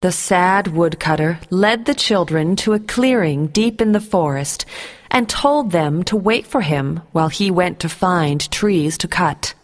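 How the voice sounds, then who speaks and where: A woman storyteller reading a scripted bedtime fairy tale for children in a measured, expressive way. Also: fairly low pitch.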